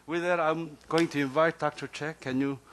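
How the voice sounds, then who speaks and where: A man's voice: a little high, steady tone, moderate pace, fairly bright tone, slight background noise. A man chairing a conference session, posing a question in an apologetic way and handing over to the next speaker. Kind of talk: conference moderation.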